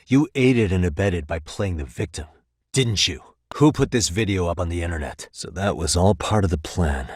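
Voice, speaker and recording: An American actor playing a sharp, determined male private detective in a video game, measured and probing, with dry, pointed questions. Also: sits low, expressive, breathy edge, no echo. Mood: analytical, firm. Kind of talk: video game voice acting, dramatic dialogue.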